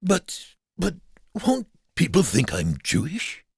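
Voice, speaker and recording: Man playing a gravelly, melodramatic, desperate aristocrat. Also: on the high side, huge pitch swings, slow, no echo, clean studio sound, exaggerated voice. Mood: anxious disbelief. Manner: melodramatic, indignant, exclamatory. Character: pompous, desperate, afflicted male aristocrat.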